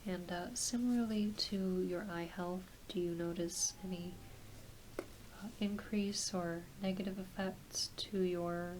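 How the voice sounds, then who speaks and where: A woman playing a gentle, reassuring doctor in an ASMR exam roleplay, speaking softly and hesitantly, often half-whispering. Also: lowish voice, measured pace, close to an everyday voice, poor audio. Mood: calm, reassuring. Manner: soft, half-whispered, hesitant, apologetic bedside talk. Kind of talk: soft-spoken ASMR medical exam roleplay. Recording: faint steady hiss behind close voice.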